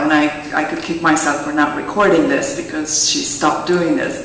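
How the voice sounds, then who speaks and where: A woman's voice: deep voice, a bit bright, a little hiss or hum. A woman from the audience, a native Hungarian speaker, telling a story in English. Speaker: audience member. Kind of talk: sharing an anecdote. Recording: distant echoey hall mic.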